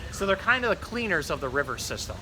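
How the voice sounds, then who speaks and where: Higher-pitched man giving a casual animal talk. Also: big pitch swings, quick pace, noisy background. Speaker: zookeeper host showing pond fish.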